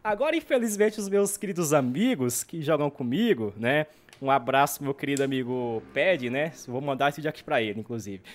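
A man's voice: medium pitch, expressive, fairly quick, hardly any pauses. A man with a northeastern Brazilian accent from Ceará, chatting casually and telling anecdotes in slang, repeating phrases for emphasis. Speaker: podcast guest, YouTuber and gamer.

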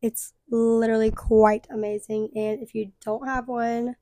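A chatty young woman, her voice slightly hoarse. She talks in an upbeat, filler-heavy way, pitched fairly high but with a mellow, soft tone. The sound is close and dry. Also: level tone, unhurried, no echo. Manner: chatty, upbeat, full of "like" and "literally". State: losing her voice. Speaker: podcast host. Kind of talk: casual chat.

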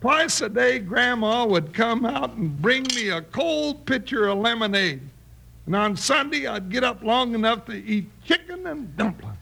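High, creaky, whiny cowboy sidekick. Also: male voice, big pitch swings, unhurried, pushed and bright, exaggerated voice. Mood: grumbling. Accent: American. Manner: complaining, folksy drawling grumble. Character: whiny, put-upon cowboy deputy sidekick, worn out. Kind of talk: 1950s Western radio acting. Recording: noisy old radio recording.

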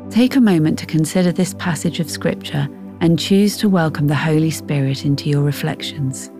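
A woman reading a scripted Bible devotional in a measured, reflective way. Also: low-pitched, mellow. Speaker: devotional reader. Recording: faint music behind.

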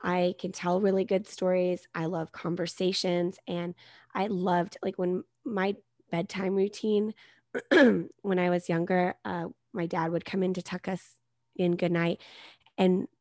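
A woman in her thirties with a soft-spoken voice, a guest author chatting casually in an interview. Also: fairly low pitch, flat delivery, unhurried, no echo, clean studio sound.

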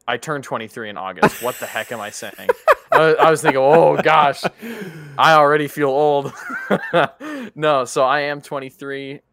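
A 22-year-old man co-hosting a podcast, talking in an animated, chatty way and often correcting himself mid-sentence. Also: on the high side, unhurried, creaky. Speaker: podcast co-host. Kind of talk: casual chat.

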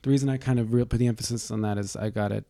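A man's voice: medium pitch, fairly even intonation, very fast, gravelly, warm tone, no echo, clean studio sound. A man co-hosting a podcast in snarky casual banter, sarcastic, profane and rambling. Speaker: podcast co-host.

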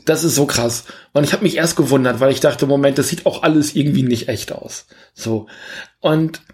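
A man's voice: medium pitch, normal speed, a little mellow. Jokey, rambling male podcast host. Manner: rambling, jokey, self-correcting. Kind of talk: casual film review chat.

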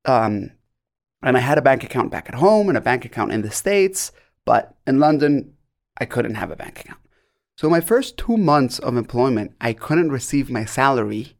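A young man from Guatemala, a podcast guest, talking in a relaxed, chatty way full of fillers as he tells stories. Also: mid-range voice, moderate pace, vocal fry. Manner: relaxed storyteller, many likes and you knows. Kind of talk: conversational interview answers.

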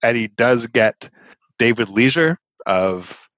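A man's voice: mid-range voice, expressive, slow, fairly bright tone, dry recording, muffled recording. A man co-hosting a podcast, chatting casually about an old sitcom, dry and wisecracking, correcting details. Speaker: podcast co-host. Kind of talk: casual chat recapping a sitcom.

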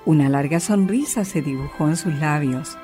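A woman's voice: sits low, moderate pace, over music. A woman narrating a scripted story in a storybook style.

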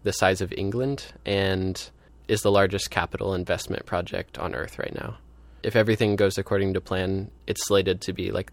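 A man's voice: deep voice, vocal fry, no room sound. A man speaking informally as an activist in an interview, thinking aloud and peppering his sentences with "like". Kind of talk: casual spoken interview.

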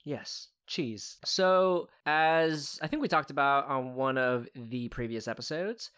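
A woman's voice: very deep voice, takes it slowly, a bit bright, clean tone. A young woman co-hosting a podcast in casual chat, rambling through stories in a laid-back way. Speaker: podcast co-host.

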